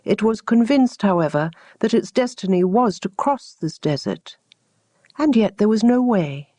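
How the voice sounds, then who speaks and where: Slow-paced woman storyteller, close dry sound. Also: medium pitch, lively intonation, dark tone, dry recording. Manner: measured, deliberate phrasing. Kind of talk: scripted storytelling narration of teaching tales.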